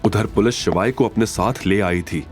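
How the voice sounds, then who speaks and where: A man's voice: lowish voice, moderate pace, over music. A man narrating a scripted dramatic audio story.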